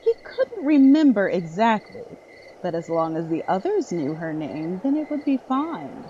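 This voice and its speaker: A woman's voice: medium pitch, very animated, unhurried, warm tone, lo-fi sound, a little hiss or hum. A woman reading scripted narration for a dramatic horror story.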